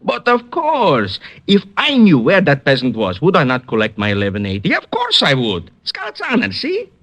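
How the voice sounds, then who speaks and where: A man's voice: on the high side, wildly swooping pitch, normal speed, fairly bright tone, full character voice. A man playing a comic, broke Russian artist and informant with a Russian accent in an old radio comedy-mystery. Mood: comic protesting. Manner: theatrical, exclamatory, scornful. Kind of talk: 1950s comedic radio acting.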